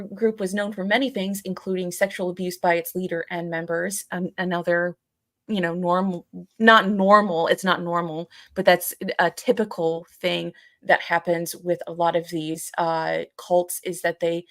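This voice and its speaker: Woman podcast cohost, kinda low voice, dry sound, halting and hedgy, casual chat. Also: little pitch movement, fairly bright tone, no echo. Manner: halting, hedging, searching for words. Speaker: podcast co-host. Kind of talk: casual live podcast discussion.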